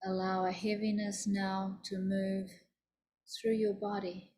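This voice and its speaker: A woman teaching yoga nidra, leading a guided meditation in a soothing, deliberate, instructive manner. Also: medium pitch, level tone, darkish tone, slight background noise.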